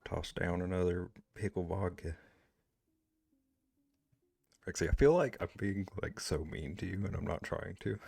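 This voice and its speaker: Low gravelly-voiced man chatting on stream. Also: steady tone, moderate pace, a little mellow, dry recording. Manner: rambling, with many "like" fillers and restarts. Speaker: streamer drawing live and chatting with viewers. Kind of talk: casual chat, reading and answering chat.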